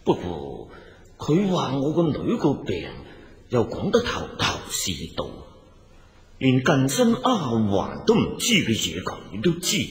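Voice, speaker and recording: A wealthy old father full of doubt, sighing and grumbling. His speech is slow and swoops widely up and down, ringing in a long echo, in radio drama style. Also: mid-range voice. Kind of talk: Cantonese radio drama acting. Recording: echoey hall with long reverb.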